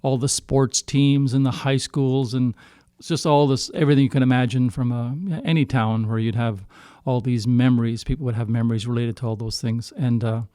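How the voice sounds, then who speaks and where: Clear-voiced middle-aged Canadian man, halting storyteller. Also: medium pitch, fairly even intonation, dark tone, no room sound, studio quality. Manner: halting, circling back to rephrase. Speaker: singer-songwriter guest. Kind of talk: casual storytelling in a podcast interview.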